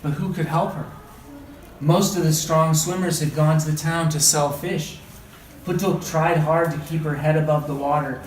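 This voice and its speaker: A man reading a story aloud as a teacher, with dramatic, deliberate phrasing and questioning asides. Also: on the high side, fairly even intonation. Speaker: read-aloud teacher and trainer. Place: indoor room, training session. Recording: echoey room, distant mic, background noise.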